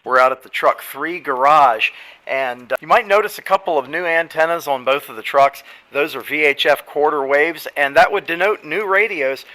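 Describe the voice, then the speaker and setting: A man's voice: fairly high pitch, moderate pace, pushed and bright. A man presenting radio gear in an informal show-and-tell, talking straight to viewers in an emphatic, explanatory way. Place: garage partly sunk into the ground.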